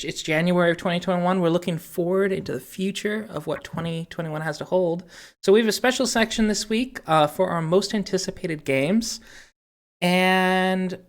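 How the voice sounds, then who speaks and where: A podcast host talking casually and conversationally about video games. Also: mid-range voice.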